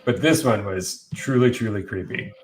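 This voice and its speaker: A man's voice: lowish voice, normal speed. A man co-hosting a casual film talk among friends, speaking in a chatty, enthusiastic way. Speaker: podcast co-host.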